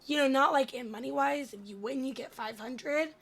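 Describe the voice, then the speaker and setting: Female gaming host, high and bright but gravelly and hoarse; casual, rambling voice-over. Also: normal speed. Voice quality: raspy, cracking in and out. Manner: rambling, self-deprecating, stumbles over words. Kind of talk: casual gameplay voice-over commentary.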